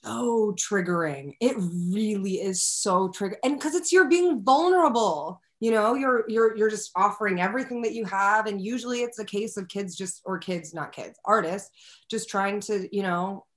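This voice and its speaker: A woman with a lower, bigger voice, talking candidly and animatedly as a guest in a casual interview, with many "like" fillers. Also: normal speed. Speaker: guest actor.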